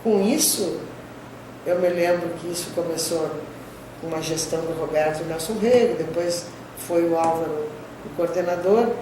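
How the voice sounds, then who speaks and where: A woman's voice: on the low side, normal speed. An older woman professor from the southern Brazilian border, with a Gaúcho accent sprinkled with Spanish terms, recalling her career in an interview and tagging sentences with "né?". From southern Brazil border region. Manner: frequent "né?" tags, conversational storytelling. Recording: distant mic, echoey room, clear background noise.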